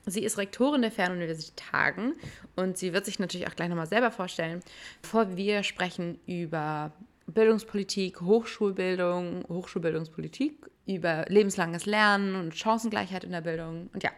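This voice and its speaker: A young woman hosting a casual interview podcast, friendly and upbeat, with relaxed greetings. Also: fairly low pitch, creaky. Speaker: podcast host.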